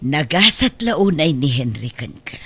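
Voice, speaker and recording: A woman's voice: low-pitched, sing-song, a little slow, breathy edge, heavily acted. An actress playing an affectionate older mother and grandmother in an Ilocano radio drama, speaking tenderly and expressively. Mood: grateful.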